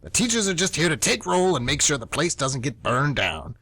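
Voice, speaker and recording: A man's voice: on the high side, very animated, moderate pace, bright, forceful tone, slightly rough, dry recording, exaggerated voice. A man playing a grumpy, old-school teacher near retirement, ranting emphatically in an amateur radio play. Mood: cynical, ranting.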